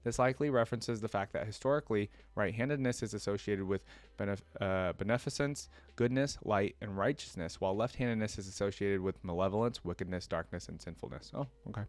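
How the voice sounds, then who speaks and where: A man's voice: fairly low pitch, fast talker, dry recording. A man hosting a reaction video, reading trivia aloud in a casual way with short asides to himself.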